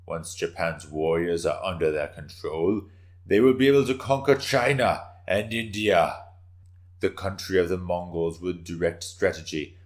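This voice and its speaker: A man voicing a boastful, fiery samurai warrior, declaiming grandly and powerfully in a dramatic story reading. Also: sits low.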